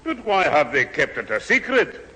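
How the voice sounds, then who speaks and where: An older man playing a gruff, proud Scottish grandfather who scolds, in a radio sitcom. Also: fairly high pitch, sing-song, moderate pace, sharp tone, heavily acted. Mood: incredulous, hurt. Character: gruff, proud, easily offended old grandfather. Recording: reverberant old radio studio recording.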